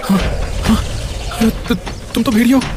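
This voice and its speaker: A man playing a poor, humble, anxious and tender-hearted shepherd in an animated moral story, with a hesitant, pleading way of talking. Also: very high-pitched, brisk, music in the background. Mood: frightened, pleading.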